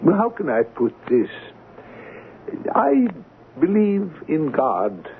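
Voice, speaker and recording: A creaky-voiced, principled gentleman, tinny radio. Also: on the high side, wildly swooping pitch, heavily acted. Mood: solemn, earnest. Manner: formal, measured, philosophical. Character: devout, incorruptible art appraiser, gentlemanly and principled. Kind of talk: 1970s radio drama acting. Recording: noisy, tinny 1970s radio recording.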